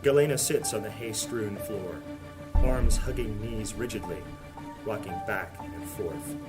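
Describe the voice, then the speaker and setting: A man's voice: fairly low pitch, measured pace. A man narrating a fantasy audio drama in a solemn, dramatic storytelling manner. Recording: loud guitar music under voice.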